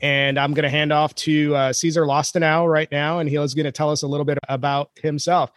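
A man's voice: on the high side, flat delivery, brisk, no echo. Enthusiastic male podcast host, jokey, dry close mic, faint music under him. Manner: enthusiastic, jokey, self-described nerd. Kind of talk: upbeat podcast hosting.

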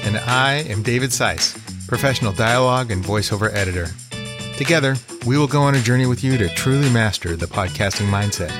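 A man co-hosting a podcast, asking questions in a measured, deliberate way. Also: mid-range voice, music in the background. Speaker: dialogue and voiceover editor, co-host. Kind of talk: podcast interview.

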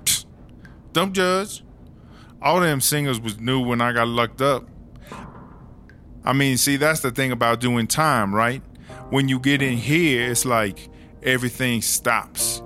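A man's voice: mid-range voice, slow pace, pauses often, bright, forceful tone. A man playing a streetwise, long-serving woman prisoner who tells stories in slangy, sweary talk for a comedy audio drama. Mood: candid, chatty. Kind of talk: casual first-person storytelling in a comedy audio drama. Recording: music under the voice.

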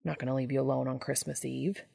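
A woman's voice: extremely low pitch, level tone, quick pace, creaky, mellow, husky, dry recording, studio quality, voice pushed down, full character voice. A woman reading a story and voicing a playful, affectionate vampire man who talks in a coaxing, informal way. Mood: mild chastising.

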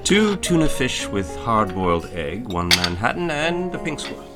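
A man's voice: mid-range voice, sing-song, normal speed, loud music behind the voice, lightly acted. A man playing a formal, courteous waiter, stiff and deferential, in a period audio drama.

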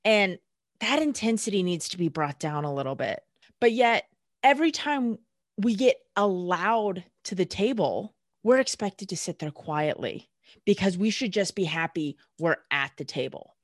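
A woman's voice: mid-range voice, animated, normal speed, no room sound, studio quality. A woman guest speaking candidly in casual conversation, blunt and emphatic, swearing freely. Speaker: podcast guest.

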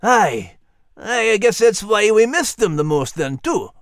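A folksy male Scottish dog for a children's audio drama. His speech swings widely in pitch, earnest and plain-spoken, with a warm heart. The recording is close and dry. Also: very animated, a little slow, vocal fry, no echo. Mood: earnest, wistful.

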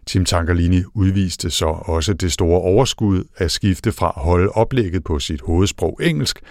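A man hosting a podcast, reading narration and asking questions in a measured way. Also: deep voice, darkish tone, no echo, studio quality. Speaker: podcast host. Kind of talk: scripted narration and interviewing.

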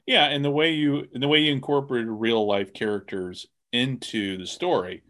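A male interviewer with an average-pitched voice. He asks questions casually and tentatively, restarting and rewording them as he talks. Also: moderate pace, a bit bright. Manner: tentative, rephrases questions as he goes. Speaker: podcast host, interviewer.

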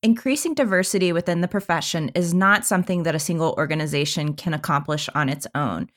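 A woman's voice: on the low side, fast, a bit bright. A woman co-hosting a podcast, welcoming guests and asking questions in an upbeat, curious way. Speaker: podcast co-host.

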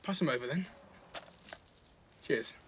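A man's voice: a little high, expressive, slow pace, fairly bright tone, slight background noise. A man playing a cheeky, restless teenage schoolboy in care, blunt and slangy, in a naturalistic audio drama. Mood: soft, teasing.

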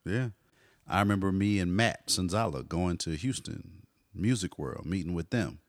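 A man in his forties, a hip hop artist telling stories in a relaxed interview, dropping "you know" often. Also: deep voice, moderate pace, no echo, clean studio sound. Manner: laid-back storyteller, frequent "you know". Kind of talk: casual conversational interview.

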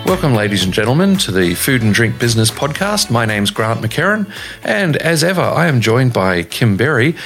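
Upbeat animated man co-host. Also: mid-range voice, moderate pace, soft background music. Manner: upbeat, jokey. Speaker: podcast co-host. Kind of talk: podcast introduction.

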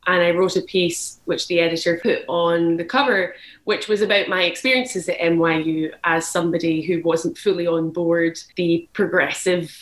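A woman's voice: lowish voice, fairly bright tone. A young woman from Glasgow with a Scottish accent, giving candid, thoughtful interview answers and often restarting her phrases. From Glasgow, Scotland. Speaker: journalist guest. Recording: echoey room.

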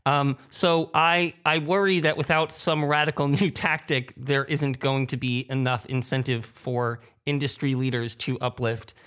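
A man's voice: medium pitch, fairly even intonation, a little slow, hardly any pauses, fairly bright tone, clear, resonant tone. A man speaking informally and conversationally as a panelist in a roundtable discussion.

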